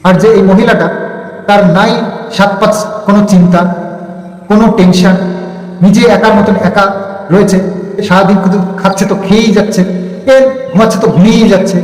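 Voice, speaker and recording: A young man talking casually to vlog viewers about personal grievances, haltingly, repeating words for emphasis. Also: sits high, fairly even intonation, darkish tone, faint music behind. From India, Bengal region. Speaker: vlogger. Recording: echoey, reverberant room.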